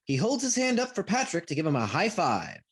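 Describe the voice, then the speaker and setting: A man's voice: high-pitched, huge pitch swings, a little fast, slightly rough. A man reading stage directions as scripted narration for a comedy play reading. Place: remote reading over a video call.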